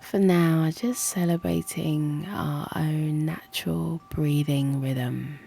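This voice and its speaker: A woman leads a guided meditation at an extremely slow pace, in a low, soft and breathy voice that often nears a whisper. There is noticeable creak in her tone, and her manner is soothing and gently encouraging. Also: fairly even intonation, slight background noise. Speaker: yoga nidra guide and channel host. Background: soft music.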